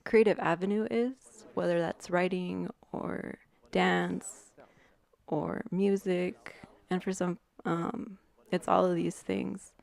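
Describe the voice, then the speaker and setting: Creaky, lowish-voiced woman. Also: fairly even intonation, darkish tone. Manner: musing, pausing to think. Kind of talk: casual reflective talk.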